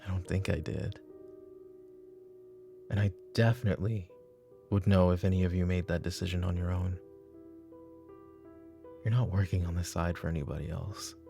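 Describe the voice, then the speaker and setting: Man playing a deep, gravelly, brooding mafia boss. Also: steady tone, normal speed, long pauses, music in the background. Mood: uneasy, brooding. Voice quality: gravelly, low. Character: weary, brooding male mafia boss and protective father. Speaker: voice actor. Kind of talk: roleplay ASMR audio drama.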